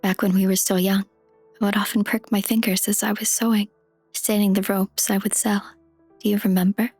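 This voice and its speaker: A gentle, idealistic fantasy game queen played by a woman speaks in a breathy, husky hush. Her lines stay even and solemn, carrying quiet sorrow. The recording is dry and close, with faint music behind. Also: mid-range voice, no room sound, lightly acted. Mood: wistful. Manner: measured, subdued, solemn. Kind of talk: dramatic fantasy game dub acting.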